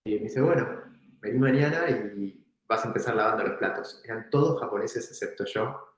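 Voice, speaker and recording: A man's voice: lowish voice, moderate pace. Argentine-sounding man in a very echoey room. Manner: relaxed, reflective, laughs now and then. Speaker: entrepreneur guest. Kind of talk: casual storytelling in an interview.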